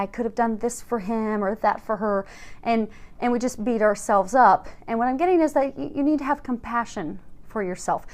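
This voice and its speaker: High-pitched woman giving a tarot reading. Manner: reassuring, encouraging. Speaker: tarot card reader and host. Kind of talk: casual, conversational spiritual tarot reading to viewers.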